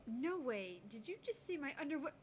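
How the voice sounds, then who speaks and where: Man putting on a high, indignant young woman. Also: big pitch swings, brisk, a little hiss or hum. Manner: scolding, high-pitched. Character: indignant, sharp-tongued young woman scientist, tsundere.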